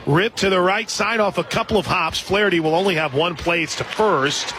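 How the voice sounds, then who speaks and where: An American man calling softball play-by-play live on the radio. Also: sits high, a little fast. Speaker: play-by-play announcer. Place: outdoor softball stadium broadcast booth. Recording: loud crowd and music behind broadcast voice. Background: crowd noise and stadium music.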